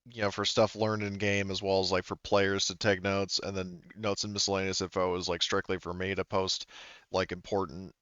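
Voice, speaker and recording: Deep smooth-voiced guy running a tabletop game, rambly, dull lo-fi call audio. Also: little pitch movement, moderate pace, a bit bright, no echo. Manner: rambling, full of fillers and restarts. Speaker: game master.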